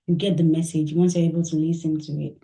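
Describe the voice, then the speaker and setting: A woman musician from Nigeria answering interview questions, soft-spoken and thoughtful, laughing often. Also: deep voice, flat delivery, fast talker. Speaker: musician guest. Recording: echoey room.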